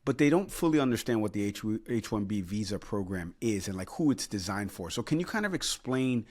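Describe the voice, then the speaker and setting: A man's voice: lowish voice, sing-song, brisk, darkish tone. A man hosting an interview podcast. He talks in a friendly, conversational way, with fillers like "you know" and "like.". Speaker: podcast host.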